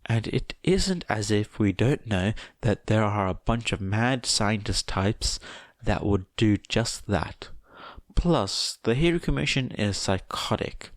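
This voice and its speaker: A man's voice: on the low side, lively intonation, takes it slowly, dry recording, clean studio sound. A man voicing a casual, slangy, slightly defensive teenage boy in a story reading. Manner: slangy, loose, speculative.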